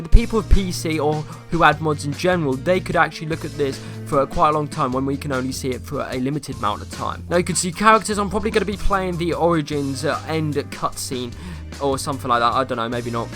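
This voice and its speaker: Give me a young man with a croaky voice, rambling over a video game with faint music underneath. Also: fairly high pitch, a bit bright. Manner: rambling, self-correcting, asks viewers questions. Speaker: YouTuber. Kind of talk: casual gameplay commentary.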